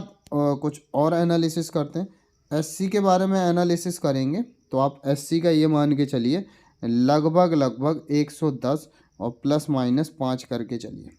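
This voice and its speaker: Male coaching teacher, slightly high pitch, informal explanatory delivery with repeated check-in questions. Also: darkish tone. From India. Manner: repeats points as questions and answers them, with check-in tags. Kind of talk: informal exam analysis explainer.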